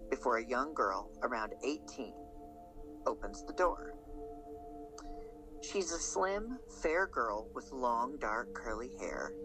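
A man narrates an audio drama in a scripted storytelling style. His voice sits at a medium pitch, and music plays clearly behind him. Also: poor audio. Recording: music under the voice.

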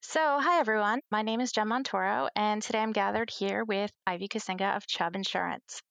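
A woman's voice: medium pitch, a little fast, creaky, a bit bright, dry recording. A woman hosting a podcast for the first time, reading a prepared, earnest introduction to her guest. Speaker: podcast host. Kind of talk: podcast interview.

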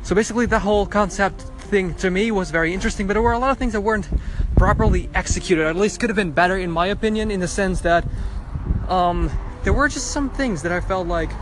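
A man's voice: high voice, low-quality recording. A young man recording a casual audio diary on his phone while he waits outdoors at a bus stop, chatty and rambling with lots of restarts. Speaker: podcast host.

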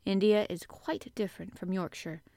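A woman voicing a reserved, curious little girl from a storybook, speaking in a deliberate and direct way. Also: medium pitch, steady tone, mellow, dry recording, studio quality, lightly acted. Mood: thoughtful.

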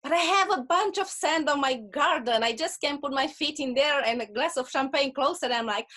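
A woman's voice: sits high, brisk, bright, forceful tone. A woman with a Russian accent, a guest talking earnestly in a casual interview and often repeating words as she starts a point.

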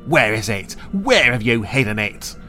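A man voicing an angry, accusing woman lodger from a children's story, with a forceful, scolding, emphatic delivery. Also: mid-range voice, big pitch swings, a little slow, faint music behind. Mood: furious, accusing.

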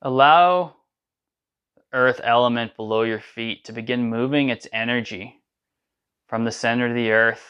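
A man leading a guided sound meditation, speaking in calm, unhurried instructions between drawn-out chanted syllables. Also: mid-range voice, clean tone, highs cut off. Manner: slow, soothing guidance with drawn-out chanted syllables.

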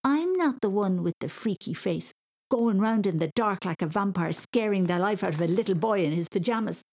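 Woman voicing an excitable, high-pitched little boy. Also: normal speed, vocal fry. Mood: indignant, teasing. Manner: breathless exclamations, stammering and indignant. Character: frightened, excitable, cheeky little boy in pyjamas. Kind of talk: children's story reading.

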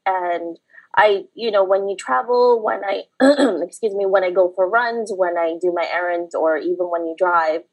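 A woman from the Philippines, telling her story as a podcast guest in a relaxed way with some fillers. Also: lowish voice, moderate pace, rough recording. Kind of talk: casual interview talk.

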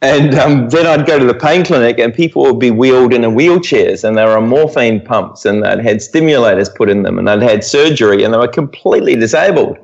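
A man's voice: medium pitch, animated, fairly quick, gravelly, hardly any pauses, lo-fi sound. A middle-aged man with a New Zealand accent explaining things reflectively as an interview guest. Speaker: guest expert, physiotherapy professor. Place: at home, remote interview.

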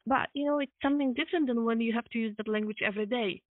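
A woman's voice: fairly high pitch, very fast, no echo. A woman from Poland with a Polish accent, speaking English as a second language in a casual, chatty way, often repeating and rephrasing herself. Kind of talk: casual chat. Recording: thin phone-like call audio.

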